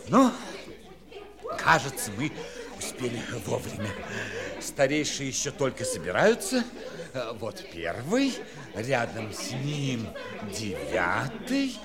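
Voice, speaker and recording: An actor playing a curious, courteous storyteller and guide through a fairy-tale city in a children's audio play. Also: male voice, mid-range voice, huge pitch swings, slow, crowd noise. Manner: theatrical, inquisitive, courteous. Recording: long reverb, music behind.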